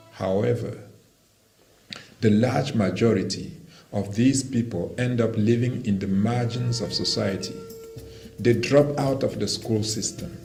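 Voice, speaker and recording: A man from Cameroon whose first language is Romala, reading a formal, measured statement for a language organization. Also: mid-range voice, gravelly, darkish tone. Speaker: language development representative. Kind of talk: prepared statement read aloud. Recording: roomy video clip with faint music.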